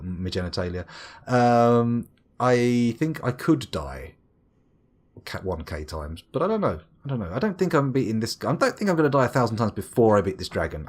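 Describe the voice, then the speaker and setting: Chatty English man with a lively voice. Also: fairly low pitch, breathy edge. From England. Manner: rambling, chatty, self-mocking, lively swings into exclaimed bits. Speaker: gaming streamer reading chat. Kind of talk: casual live-stream chat.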